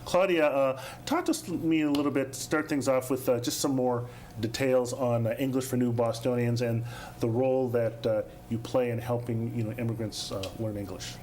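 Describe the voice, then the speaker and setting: Male talk show host, mid-pitched, measured delivery with frequent uh fillers, faint hiss behind. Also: steady tone, a little mellow. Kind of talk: studio interview.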